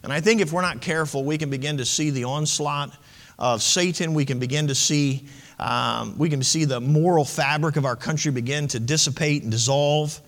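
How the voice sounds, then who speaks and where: A man's voice: on the high side, a bit bright, in a large hall. A man in his late thirties, preaching a sermon as pastor, impassioned and conversational with asides to the congregation. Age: 30s.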